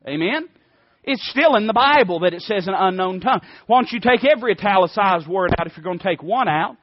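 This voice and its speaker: A man's voice: high voice, lively intonation, a little fast, no echo, lo-fi sound. A man with an Appalachian accent preaching an impassioned sermon in a folksy, emphatic manner with rhetorical questions. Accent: Appalachian American. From Appalachia, United States.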